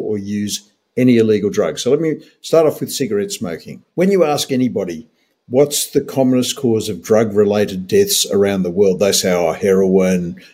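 An Australian man in his sixties speaks as a doctor on a health podcast. His voice is fairly low and a little husky. He talks emphatically, with firm opinions and a wry sense of humour. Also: darkish tone.

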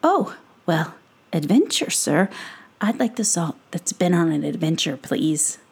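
A woman voicing a shy, flustered young boy who stammers in a breathy voice. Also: deep voice, sing-song, measured pace, a little mellow, pitched below the performer's own voice, exaggerated voice. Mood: nervous.

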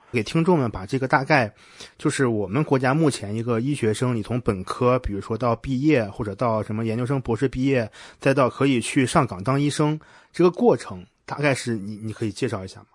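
A man's voice: mid-range voice, quick pace, no room sound. Man interviewing casually, close dry sound. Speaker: podcast host, interviewer.

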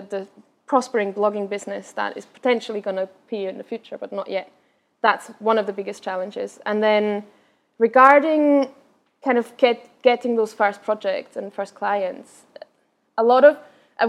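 A young woman with an Austrian German accent softened by Scottish touches answers questions at a live talk. She talks fast and chattily, stumbling into restarts and fillers, at an ordinary middle pitch. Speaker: guest speaker.

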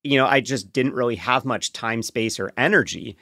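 A man speaking as a podcast guest, explaining things candidly in a reflective, measured, conversational way. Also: medium pitch, pushed and bright, dry recording, clean studio sound. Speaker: podcast guest, co-author and partner of a sex therapist.

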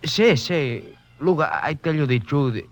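An actor playing an evasive, nervous Mexican man in a 1950s radio drama, with halting, stammering broken English and a Mexican accent. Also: on the high side, lively intonation. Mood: anxious confessing. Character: evasive, nervous Mexican man living in a poor river hut. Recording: muffled, band-limited 1950s radio broadcast.

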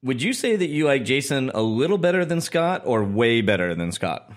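A man's voice: mid-range voice, very animated, moderate pace. A man co-hosting a podcast interview, asking questions in a casual, joking and teasing way. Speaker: podcast co-host.

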